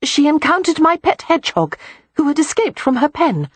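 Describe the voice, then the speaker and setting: A woman reading a novel aloud, voicing a bright, frank and eager young gentlewoman in a much higher voice. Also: sing-song, normal speed, dry recording. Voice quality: bright, earnest.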